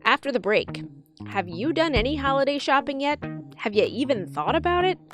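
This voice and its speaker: A woman hosts a podcast with scripted narration, linking segments in a fairly high voice. Music plays clearly behind her. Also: very animated, moderate pace. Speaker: podcast host.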